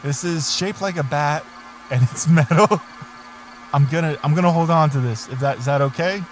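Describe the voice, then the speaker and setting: A man's voice: a little high, normal speed, close to an everyday voice. A man playing a patient magical tree that guards a forest, talking casually and hesitantly in improvised audio comedy. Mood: negotiating, hesitant. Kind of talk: improvised comic audio drama. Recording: background noise with chirping crickets.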